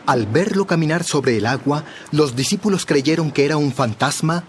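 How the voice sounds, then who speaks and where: A man narrating a dramatized Bible story in a measured, expressive storytelling style. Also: fairly high pitch, a little hiss or hum.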